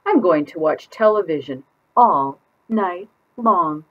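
A woman voicing a stubborn, defiant little girl from a picture book, emphatic and drawn-out in a sing-song way. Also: lowish voice, animated, muffled recording, voice pushed up. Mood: gleeful, determined.

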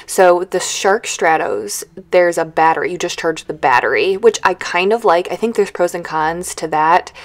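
A woman in her thirties chatting casually as a home vlogger, in a rambling, conversational way. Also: lowish voice. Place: indoors at home.

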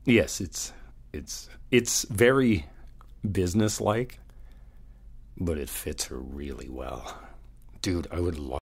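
A man playing an easygoing, flirty hotel guest in an audio roleplay, chatting casually and haltingly in one-sided phone talk. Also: deep voice, gravelly, breathy edge, full character voice. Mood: smitten, bashful. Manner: casual, halting, slangy, swearing.

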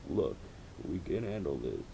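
A man voicing a steady, reassuring teenage brother in a gravelly voice, firm and unhurried. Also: a bass voice, a little hiss or hum, pitched below the performer's own voice, heavily acted, low-quality recording. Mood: calm resolve.

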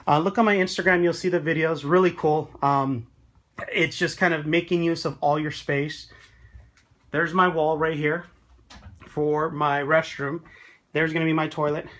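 A man with a slightly high voice gives a casual, chatty tour of his half-built tiny house and points things out as he goes. He talks into a handheld phone camera inside a small wooden room. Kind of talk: casual walkthrough vlog.

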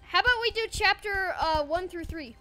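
A woman playing an online game with friends. Her voice is very high and sharp, and she bursts into loud shrieks and giggles. Faint game music runs behind her. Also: normal speed, poor audio. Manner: giggly, loud outbursts, shrieks when startled. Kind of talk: excited gameplay chatter.

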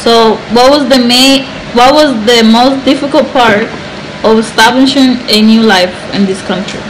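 A woman interviewer asks her questions hesitantly, with fillers. Her voice is fairly high, and clear street noise runs behind it. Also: normal speed.

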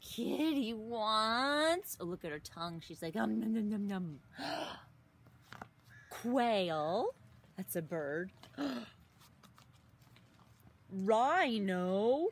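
A woman in her thirties reading a picture book aloud to young children outdoors, expressively, with exaggerated gasps and drawn-out words. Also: medium pitch, expressive. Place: outdoors in a leafy garden. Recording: outdoor recording with some ambient noise.

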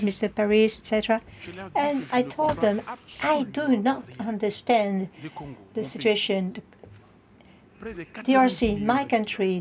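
A woman giving a live simultaneous interpretation of a conference speech, in halting, piecemeal phrases with repeated words. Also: mid-range voice, a little mellow.

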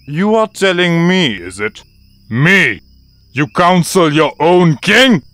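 A man's voice: on the high side, very animated, slow pace, fairly bright tone, no echo, exaggerated voice. A man voicing a haughty, menacing lion king in a cartoon, sly and mockingly polite. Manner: mocking, drawling mock politeness. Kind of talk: cartoon voice acting.

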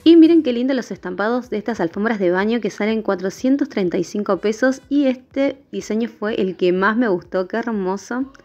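A woman's voice: medium pitch, moderate pace, a little mellow. A woman narrating a shopping vlog in voice-over. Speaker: vlogger. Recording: dull lo-fi voice-over with music. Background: background music.

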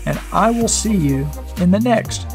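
An older man with a hoarse voice, hosting a motorcycle channel and answering viewer questions in a rambling, casual way, seated at a desk in a small studio with a clip-on mic. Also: sits high, expressive, measured pace, soft background music. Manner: rambling, conversational, many fillers and restarts. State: hoarse, losing his voice. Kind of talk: casual talk to camera answering viewer comments. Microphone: wireless clip-on mic.

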